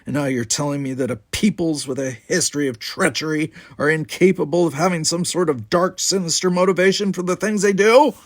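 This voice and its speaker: A man's voice: a little high, a little fast, hardly any pauses, dry recording, clean studio sound, pitched above the performer's own voice, natural voice. Man acting a taunting, combative alien cadet. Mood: angry, crowing. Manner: taunting, sarcastic. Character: combative, mocking male alien Starfleet cadet. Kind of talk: audiobook character voice.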